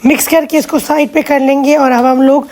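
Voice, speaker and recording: A high-pitched woman with a slightly hoarse voice walks viewers through recipes one step at a time. Her tone is mellow and soft-edged, and she chats casually between measurements. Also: steady tone, brisk, slight background noise. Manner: patient step-by-step instruction, chatty asides. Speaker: cooking channel host. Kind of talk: cooking vlog voice-over.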